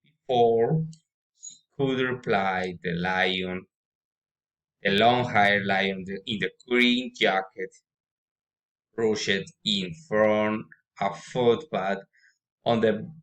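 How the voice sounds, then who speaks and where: Male reader, fairly low voice, slow and halting, practising a children's story with repeats and misreadings. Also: steady tone, a bit bright, rough recording. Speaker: student practising reading aloud.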